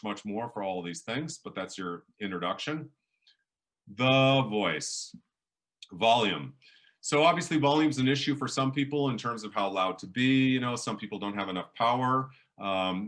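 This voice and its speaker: Middle-aged man, big booming teacher voice, forceful, animated lecture delivery. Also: normal speed. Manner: animated, varies volume and pace for emphasis. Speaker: professor leading a public speaking workshop. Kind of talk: lively workshop lecture.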